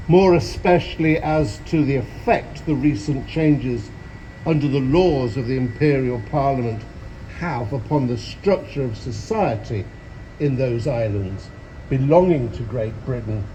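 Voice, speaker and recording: A man playing a solemn colonial captain, reading a proclamation in a formal, stately and deliberate way. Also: fairly high pitch, mellow, slight background noise, poor audio. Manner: stately, ceremonious, deliberate phrasing. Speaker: amateur drama society actor.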